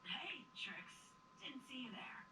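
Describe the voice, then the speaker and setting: A woman's voice: high voice, animated, slow. A woman playing a sly, coaxing female dragon in an audio drama, drawling and wheedling, heard through distant, echoey, noisy playback. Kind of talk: fan audio drama acting.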